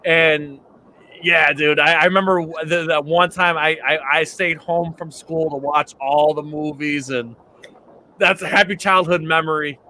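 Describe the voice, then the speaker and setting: A high, bright-voiced man hosts a casual podcast chat, rambling excitedly with frequent restarts. A faint hiss sits under his voice. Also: measured pace. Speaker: podcast host.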